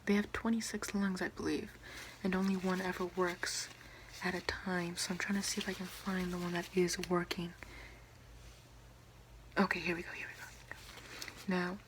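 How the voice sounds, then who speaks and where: A young woman whispering breathily in an ASMR roleplay as a doctor, slow, careful and soothing. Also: lowish voice, flat delivery, a little hiss or hum, rough recording. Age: young adult. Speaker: ASMR performer playing a doctor.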